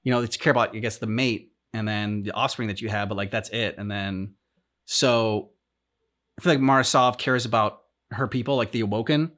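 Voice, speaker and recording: A man in a casual discussion, talking as a reaction show host in a halting, rambling way as he thinks aloud. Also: lowish voice, a bit bright. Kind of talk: casual discussion of video game story.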